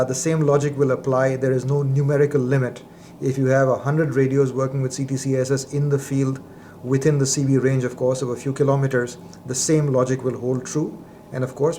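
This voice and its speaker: A man's voice: medium pitch, warm tone. A man giving a methodical, explanatory product demonstration, as a presenter. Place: indoor lab. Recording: roomy echo, faint background noise.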